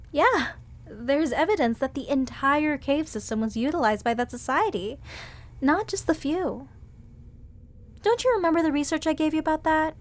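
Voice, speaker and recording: A woman voicing a sweet, bookish female museum curator who earnestly explains legends in an audio roleplay drama. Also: sits high. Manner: earnest, lecturing at length on legends and history.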